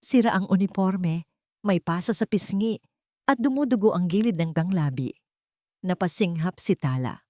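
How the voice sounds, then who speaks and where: A woman narrating a scripted dramatic story in a theatrical, expressive manner. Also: lowish voice, normal speed, dry recording.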